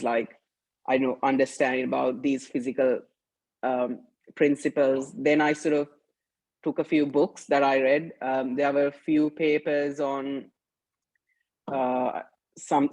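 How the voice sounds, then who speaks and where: A young man on a video call recalls his application, pausing and hedging with "sort of". His delivery stays flat and even, and the sound is dry, with no room around it. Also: mid-range voice, dry recording, poor audio. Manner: hesitant, many "sort of" and "uh". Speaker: student panelist.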